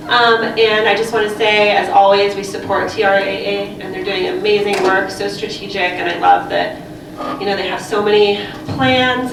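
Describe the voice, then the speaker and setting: A woman who founded a community group speaks in a friendly, upbeat way and says "um" often. Her voice has a middle pitch. She is heard from a distance in a very echoey room, with noise behind her. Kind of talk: public comment.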